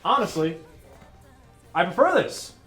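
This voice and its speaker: A man's voice: sits high, very animated, measured pace, a bit bright, soft background music. A man doing improvised comic banter in a playful, mock-amazed, repetitive way. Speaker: guest in a comedy bit.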